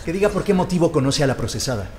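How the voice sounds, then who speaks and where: An actor playing a formal court examiner who puts procedural questions to a witness in an audio drama. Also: male voice, on the high side, sing-song, rapid-fire, darkish tone, slight background noise. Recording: long courtroom reverb.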